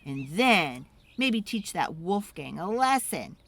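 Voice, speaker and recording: A woman voicing an eager, pleading young child in an excitable, dramatic storybook read-aloud. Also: lowish voice, pitched below the performer's own voice, exaggerated voice. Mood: eager, boastful. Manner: wheedling, excitable, dramatic, drawn-out emphasis.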